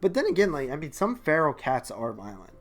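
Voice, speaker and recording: A man's voice: a little high, huge pitch swings, a little fast. A man co-hosting a movie podcast in casual, slangy chat, often dropping in "like" and "man". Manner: slangy, informal, heavy use of "like" and "man".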